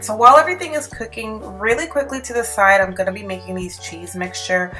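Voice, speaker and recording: A woman's voice: mid-range voice, steady tone, quick pace, sharp tone, faint music behind. A woman in her thirties hosting a casual cook-along vlog, talking informally and giving instructions in her home kitchen. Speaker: host of a home cooking vlog. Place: home kitchen and living room, indoors.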